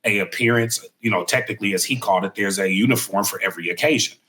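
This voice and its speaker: A middle-aged man hosting a podcast interview, talking casually and acting out quotes in a lively, raised voice. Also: fairly low pitch, fast. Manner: animated, acts out quotes in a raised voice. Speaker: podcast host.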